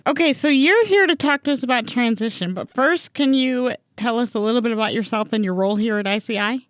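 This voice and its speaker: A woman's voice: on the high side, brisk, no echo, clean studio sound. A woman hosting a podcast interview, upbeat and chatty with frequent fillers. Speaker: podcast host.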